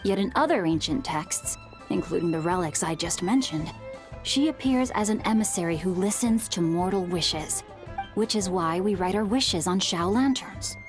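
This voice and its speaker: A woman plays a young scholarly boy with a mid-pitched voice for a video game. He speaks articulately and in a measured, explanatory manner, over faint music. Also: expressive, lightly acted. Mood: earnest, informative. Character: bookish, well-spoken young boy scholar.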